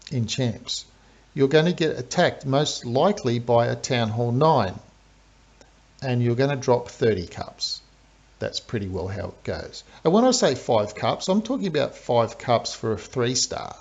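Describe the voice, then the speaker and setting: A man's voice: medium pitch, darkish tone, slightly rough. A man with an Australian accent, a game streamer chatting through live commentary and explaining strategy step by step. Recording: dull lo-fi stream audio.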